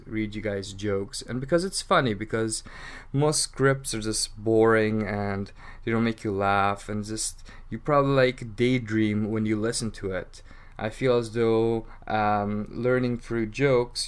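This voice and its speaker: A man's voice: fairly low pitch, clean tone. Rambling man with mixed British-Canadian accent. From England. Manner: rambling, frequent fillers like "like". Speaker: English teacher and podcast host. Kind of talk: casual unscripted monologue. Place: Toronto.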